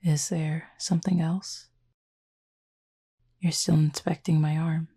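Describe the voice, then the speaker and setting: Woman playing a low, breathy, gentle cupid roommate. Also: full character voice. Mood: gentle, reassuring. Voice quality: soft, breathy, husky. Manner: measured, formal wording, calm and reassuring. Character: gentle, composed horned cupid roommate, tenderly teasing. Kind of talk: intimate roleplay audio, soft-spoken.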